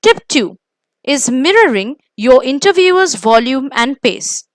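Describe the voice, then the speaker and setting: A woman with an Indian accent presenting a scripted instructional lesson as host, with deliberate, emphatic phrasing. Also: high voice, sing-song, no echo, low-quality recording. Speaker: host of an exam coaching video.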